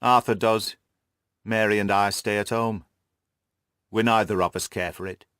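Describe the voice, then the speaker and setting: A man's voice: on the low side, steady tone, a little slow, a bit bright, smooth, no room sound, clean studio sound. A man voicing an anguished older banker, a dignified and despairing father, in an emotional, lamenting audiobook performance. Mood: subdued. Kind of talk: audiobook character acting.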